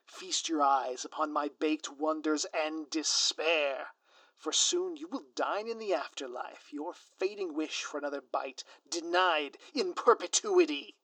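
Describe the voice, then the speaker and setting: A man playing a scheming, theatrical supervillain in a scripted ASMR audio roleplay, with a pompous, florid, drawn-out delivery. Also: a little high, bright, forceful tone, no echo. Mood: grandiose, gloating. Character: theatrical scheming male supervillain, gloating, menacing, easily flustered.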